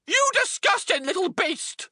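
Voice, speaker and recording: A man's voice: very high voice, very animated, a little slow, pushed and bright, no echo, studio quality, voice pushed up, heavily acted. A man voicing a horrible, cruel aunt in a storybook, scornfully spitting out insults. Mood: scornful, disgusted. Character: horrible cruel aunts scolding, shrill nasty women.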